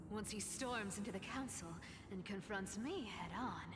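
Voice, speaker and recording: A woman's voice: medium pitch, normal speed, noisy background, close to an everyday voice. An actress playing a sly, teasing female trickster demigod in a game. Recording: game audio with reverb and music.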